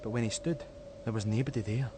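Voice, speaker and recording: A man's voice: mid-range voice, fast talker, noisy background. A man with a Scottish accent reading a story as a dramatic scripted narration.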